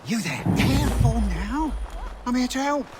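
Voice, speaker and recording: An actor playing a cautious, helpful colonial messenger with an informal manner. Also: male voice, extremely high pitch, animated, a little slow, heavy background noise.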